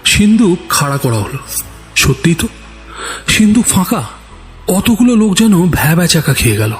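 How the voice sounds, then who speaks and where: A male storyteller with a slightly high voice delivers dramatic scripted narration. His delivery is theatrical and full of suspense, with music playing underneath. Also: big pitch swings, moderate pace. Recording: background music.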